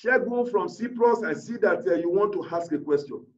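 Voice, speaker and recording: A man's voice: high-pitched, animated, normal speed. A man preaching as a pastor, giving emphatic, repetitive prayer declarations over a video call. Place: indoors, online video call. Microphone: video call device mic. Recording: roomy, distant, muffled video call.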